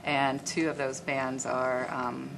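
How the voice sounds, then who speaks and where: Very deep-voiced woman promoting events. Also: flat delivery, slow, vocal fry, background noise. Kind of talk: casual interview.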